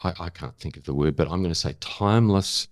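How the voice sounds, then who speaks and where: A man's voice: deep voice, a little fast, no echo, studio quality. A middle-aged or older man talking casually as an interview guest, reflective and often searching for the right word. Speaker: interview guest, photographer and former broadcaster.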